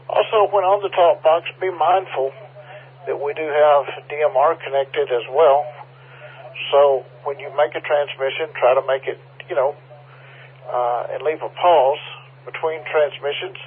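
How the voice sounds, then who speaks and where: A man's voice: medium pitch, a little hiss or hum. A man making an informal announcement over an amateur radio link, explaining things in a conciliatory way. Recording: muffled phone-like amateur radio transmission.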